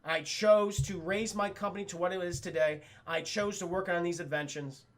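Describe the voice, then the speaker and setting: A man's voice: high-pitched, steady tone, normal speed, natural voice. A man voicing a proud, defensive businessman father in a cartoon recap, speechifying emphatically with stammers. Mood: defensive, impassioned.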